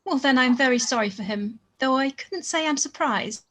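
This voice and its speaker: A woman's voice: high voice, moderate pace, a bit bright. An actress playing a polite, sensible young woman in a period radio play. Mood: polite sympathy. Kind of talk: period drama live-read radio play.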